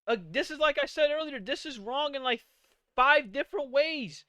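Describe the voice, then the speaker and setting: A man's voice: extremely high pitch, normal speed, bright, forceful tone, dry recording. A man in his late twenties hosting a live-streamed podcast, ranting casually with profanity and exasperated rhetorical questions. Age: 20s. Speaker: podcast host.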